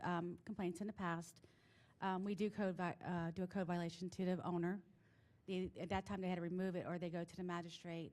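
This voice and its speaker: A woman on city staff calmly explaining code enforcement steps at a public meeting, with hesitations. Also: fairly low pitch. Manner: measured, hesitant, with fillers.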